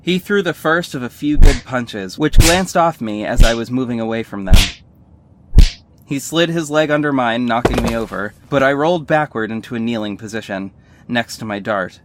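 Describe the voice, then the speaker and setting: A man's voice: mid-range voice, lively intonation, normal speed, very noisy, natural voice. An actor playing a sardonic male superhero who narrates his own story in a scripted audio drama, wry and earnest. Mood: tense recounting.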